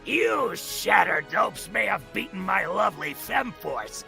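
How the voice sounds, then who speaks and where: A man's voice: high voice, sing-song, measured pace, vocal fry, over music, pitched above the performer's own voice, full character voice. A man voicing a bombastic, scornful comic-book villain in a theatrical, taunting manner. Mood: scornful, gloating. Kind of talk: cartoon table-read acting.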